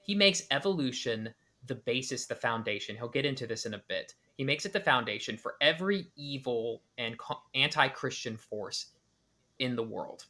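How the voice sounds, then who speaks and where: A man's voice: medium pitch, animated, moderate pace, bright, forceful tone. A man hosting a podcast, reacting to a clip with analytical commentary, hedging and restarting often. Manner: analytical, with many restarts and hedged speculation. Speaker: podcast host.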